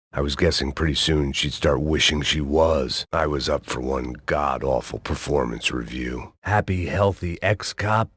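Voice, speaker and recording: An actor playing a middle-aged, hard-drinking ex-cop in a noir video game, with a deadpan, sardonic, self-loathing manner. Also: male voice, rumbling low, breathy edge, dry recording, clean studio sound. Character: world-weary, hard-drinking male ex-cop turned failing bodyguard.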